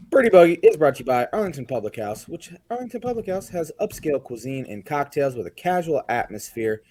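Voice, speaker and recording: Young guy cohost, hoarse voice still coming back, mid pitch, rambles with lots of uhs. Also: a little mellow. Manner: chatty, rambling, frequent fillers. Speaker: co-host of a sports podcast. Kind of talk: casual sports chat.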